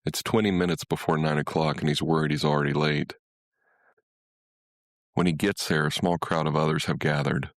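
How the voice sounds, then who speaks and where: A man's voice: a bass voice, steady tone, moderate pace, pauses often, no room sound, clean studio sound. A man reading a literary short story as scripted narration.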